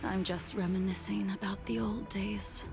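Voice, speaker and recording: Game role, female actor: serene fallen sword legend, measured and breathy, with a creaky edge, over music. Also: medium pitch, close to an everyday voice. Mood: serene, wistful. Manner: slow, calm, breathy, deliberate. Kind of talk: English video game voice acting. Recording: game audio with music behind.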